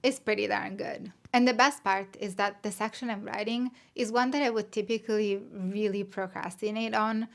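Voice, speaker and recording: Chatty Italian woman with a gravelly edge. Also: medium pitch, fairly even intonation, moderate pace, few pauses. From Italy. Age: 30s. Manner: chatty, candid and self-deprecating. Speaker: vlogger. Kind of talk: casual chat to camera.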